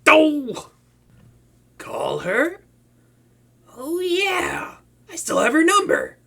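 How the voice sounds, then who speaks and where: A man's voice: extremely high pitch, wildly swooping pitch, extremely slow, creaky, pushed and bright, exaggerated voice. A man playing a flustered, boastful young male supervillain who stammers and swings between moods. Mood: excited. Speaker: voice actor. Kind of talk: hobby audio roleplay to a listener.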